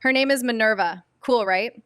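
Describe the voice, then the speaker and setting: A woman's voice: on the high side, moderate pace, fairly bright tone, no room sound, studio quality, lightly acted. A woman playing a stubborn, overconfident twelve-year-old girl detective in an audio drama, emphatic and self-assured. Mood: excited, cocky. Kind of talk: scripted audio drama acting.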